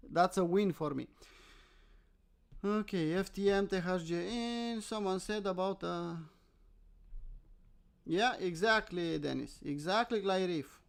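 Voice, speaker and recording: A man's voice: high-pitched, slow, creaky, pauses often. A man from Romania with a Romanian accent, hosting casual live trading commentary in an informal, self-deprecating way, often asking and answering his own questions. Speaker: host, crypto trader.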